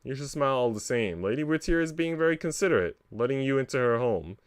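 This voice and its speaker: A man's voice: medium pitch, animated, quick pace, clean tone, no echo, clean studio sound, voice pushed up, close to an everyday voice. A man reading aloud the part of an exasperated guardian scolding a young girl in a visual novel. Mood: admonishing.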